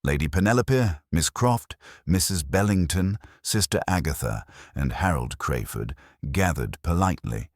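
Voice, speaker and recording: A man narrating a scripted mystery story in a measured, formal storytelling manner. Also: low-pitched, expressive, no echo, studio quality. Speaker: audiobook narrator.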